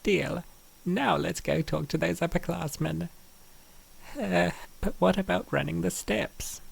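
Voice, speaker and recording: Man voicing husky, very creaky young college women. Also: fairly high pitch, measured pace, exaggerated voice. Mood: bossy. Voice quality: raspy, creaky. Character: young college women roommates, bantering, teasing and bickering. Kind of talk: comic dub dialogue.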